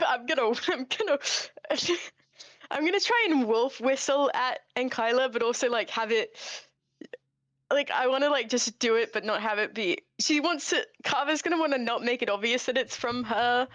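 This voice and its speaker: High-voiced woman playing a tabletop game. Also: normal speed, fairly bright tone. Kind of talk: casual game chat.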